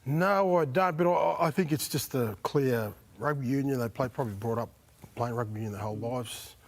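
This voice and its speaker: A man, a former player on a panel, talking in a relaxed way with lots of fillers and "mate". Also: medium pitch, a little fast. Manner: relaxed, says mate often, many fillers. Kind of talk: casual panel chat.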